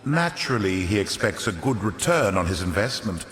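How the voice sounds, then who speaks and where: An actor playing a sly, pompous older fallen aristocrat turned greedy merchant in a video game, speaking in florid, theatrical, unctuous patter. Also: male voice, on the low side, sing-song, moderate pace, a bit bright. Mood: confiding. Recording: echoey hall reverb on voice.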